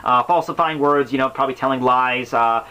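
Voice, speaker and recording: A man's voice: mid-range voice, fairly even intonation, a little fast, pushed and bright, smooth, poor audio. A man giving casual, unscripted explanatory commentary as a host, rambling and slangy in his delivery. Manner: rambling, slangy, with exaggerated exasperation. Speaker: host of a book summary series.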